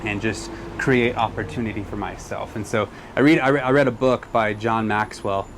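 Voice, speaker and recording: A man's voice: lowish voice, fairly even intonation, normal speed, background noise. A cigar lounge owner with an El Paso Texan accent, speaking earnestly in a casual interview and often repeating words as he starts a sentence.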